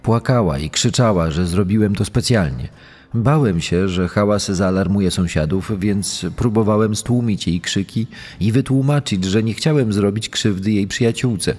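Actor as deep, dark-voiced accused killer testifying. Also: male voice, normal speed, breathy edge. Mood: defensive. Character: male accused child killer giving self-justifying court testimony. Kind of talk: dramatised testimony in true crime audio. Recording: long echoing hall reverb.

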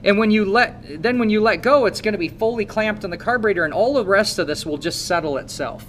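A man's voice: high voice, lively intonation, quick pace, fairly bright tone, a little hiss or hum. A man in his thirties with a Midwestern American accent, teaching motorcycle repair hands-on and quizzing his students as he works. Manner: coaching, asks students questions. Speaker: motorcycle technician instructor. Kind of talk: hands-on shop demonstration.

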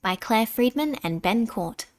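A woman reading a children's picture book aloud in an expressive, deliberate way, dramatic on key words. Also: on the high side. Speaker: storybook reader.

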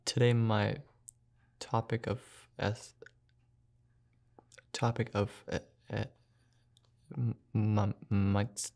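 A young man telling his own story in a short film, soft-spoken and halting, with pauses and fillers. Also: fairly low pitch, level tone, vocal fry. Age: young adult. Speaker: student filmmaker narrating his own story. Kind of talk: personal narration for a short film.

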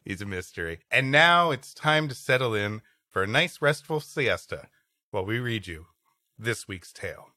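A man's voice: mid-range voice, expressive, normal speed, pushed and bright. A man co-hosting a comedy podcast, bantering and reading stories. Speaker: podcast co-host. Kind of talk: comic banter and story reading.

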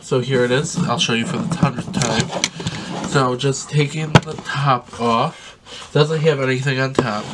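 A man's voice: mid-range voice, heavy background noise. Sniffly, chatty young man unboxing. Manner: chatty, enthusiastic, rambling with frequent ums. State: sniffly, congested from a sinus infection. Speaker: vlogger. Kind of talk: casual unboxing vlog. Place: small bedroom indoors.

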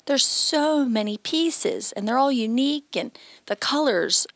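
A woman's voice: high voice, animated, unhurried, creaky, dry recording. An American woman in her thirties hosting a local shopping show, chatty and enthusiastic, with upbeat promotional delivery. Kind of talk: upbeat promotional presenting to camera. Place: small home decor shop, indoors. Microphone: handheld microphone.

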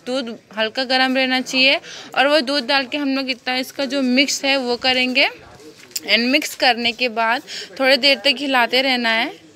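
A woman's voice: high-pitched, fairly even intonation, moderate pace, bright, forceful tone. A young woman explaining a home recipe step by step in a casual, chatty way with many fillers, filmed on a phone in her kitchen. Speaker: cooking vlogger. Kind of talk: casual step-by-step cooking demo. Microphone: phone camera filming hands from above.

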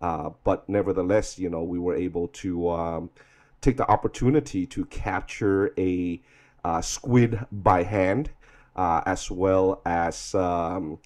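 A man with a low voice gives an unscripted vlog voice-over. He speaks in a reflective, rambling way, often halting as he finds the next phrase. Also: fairly even intonation, darkish tone. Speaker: vlog host.

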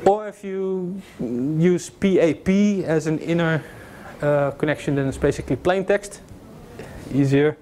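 A man's voice: on the high side, unhurried, a little mellow, slight background noise. Casual man lecturing in a classroom. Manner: casual, joking, many fillers and restarts. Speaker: security lecturer. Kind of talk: informal technical lecture with slides. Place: classroom with projector screen. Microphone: far camera.

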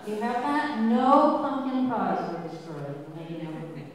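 A woman in her sixties, a church organist, speaking into a handheld mic to an audience in a large church, chatty and wry with many pauses. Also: mid-range voice, fairly even intonation, background noise. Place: large church sanctuary with balcony. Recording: echoey church hall, distant camera.

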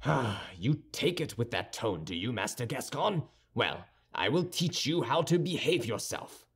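A man voicing a haughty, sardonic young musketeer in an audiobook, formal, measured and condescending. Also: mid-range voice, sing-song, husky. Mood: offended. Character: cool, haughty young musketeer with churchly airs, dryly sardonic. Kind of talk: audiobook character dialogue.

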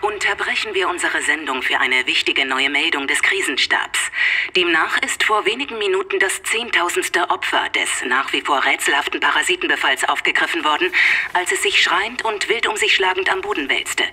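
An actor playing a radio news announcer in an audio drama, formal and urgent. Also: male voice, a little high, animated, moderate pace, pushed and bright.

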